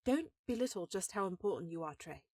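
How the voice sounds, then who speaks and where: A woman's voice: on the low side, lively intonation, fairly quick, a little mellow, no room sound, studio quality. A woman playing a powerful elven sorceress in a fantasy audio drama, an encouraging sister who talks in an earnest, affectionate way.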